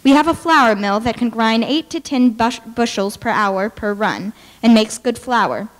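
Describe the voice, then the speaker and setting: A young adult woman giving a formal, deliberate reading of historical documents. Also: a little high, animated. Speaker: dramatic reader. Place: large brick-walled hall with stage, indoors. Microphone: podium microphone. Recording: reverberant large hall.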